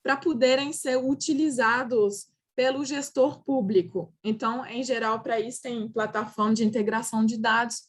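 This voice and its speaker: A woman's voice: fairly high pitch, fairly even intonation, moderate pace, hardly any pauses. A woman with a French accent, a native French speaker, presenting in a video-call roundtable with many hesitations and restarts. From France. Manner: hesitant, frequent filler "é" and restarts. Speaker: panelist.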